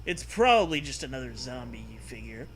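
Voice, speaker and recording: A man's voice: medium pitch, big pitch swings, fairly quick, breathy edge. A man co-hosting and reading a comic gamebook aloud, mixing narration with casual, irreverent banter. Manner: playful, irreverent.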